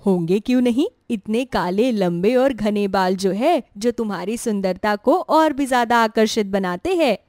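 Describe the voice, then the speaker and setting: A woman voicing a caring middle-aged mother who gently scolds and soothes in an earnest way. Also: medium pitch, lively intonation, moderate pace, hardly any pauses, dry recording, studio quality, lightly acted. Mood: soothing.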